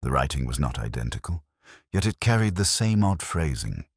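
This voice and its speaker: A man narrates a literary mystery with formal, measured delivery. His voice is extremely deep and husky, moving widely in pitch, in a dry recording. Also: very animated, dry recording. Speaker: audiobook narrator.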